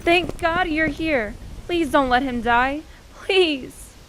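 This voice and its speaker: A woman's voice: high-pitched, slow pace, fairly bright tone, a little hiss or hum. A woman playing a frantic young woman pleading for her wounded boyfriend in a radio play. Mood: desperate, pleading.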